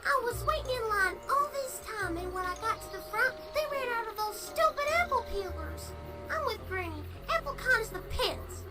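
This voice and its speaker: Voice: extremely high pitch, expressive, moderate pace, music in the background. A performer playing a feisty, grumbling little girl pony in a cartoon comic dub. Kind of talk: fan comic dub character acting.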